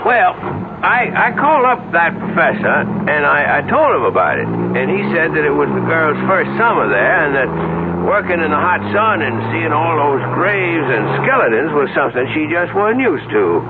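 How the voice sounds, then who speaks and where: An actor playing a good-natured, slow-witted Arizona deputy sheriff in a radio drama, hesitant and stammering. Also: male voice, fairly high pitch, big pitch swings, creaky, narrow, tinny audio. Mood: earnest, rambling. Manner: folksy, hesitant, stammering, deferential. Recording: noisy, band-limited old radio broadcast.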